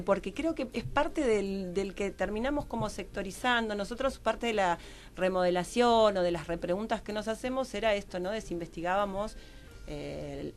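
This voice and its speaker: A woman with an Argentine accent speaking as a guest in a radio interview, searching for words and repeating herself as she explains. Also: medium pitch. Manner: halting, repetitive, searching for words. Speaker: guest researcher on gender and health law.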